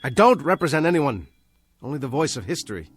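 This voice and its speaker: A man's voice: a little high, sing-song, a little fast. A man playing an earnest, intense American with a clipped, guarded way of speaking and an American accent, in vintage television drama style. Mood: pleading, grave. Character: earnest, urgent American man carrying a grave warning. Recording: old TV soundtrack with music. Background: dramatic music.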